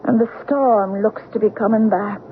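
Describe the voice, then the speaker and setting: An actress playing a weary, solemn countrywoman telling grim secrets in an old-time radio horror play, with grave, deliberate delivery. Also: mid-range voice, fairly even intonation, lightly acted. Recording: noisy, muffled vintage radio recording.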